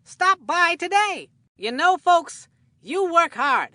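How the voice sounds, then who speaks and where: High, bright cartoon baseball announcer. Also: female voice, sing-song, slow pace, dry recording, studio quality, heavily acted. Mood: folksy, salesman-like. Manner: hammy, folksy announcer delivery. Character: excitable, folksy male cartoon baseball commentator. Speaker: baseball commentator. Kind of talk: scripted video game sports commentary with puns.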